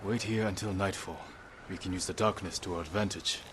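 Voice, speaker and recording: Give a video game samurai lord, resolute and commanding. His voice sits low and his delivery is measured and serious. A little background noise sits behind him. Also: steady tone, fairly bright tone. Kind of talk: dramatic video game acting. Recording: outdoor game ambience behind the voice.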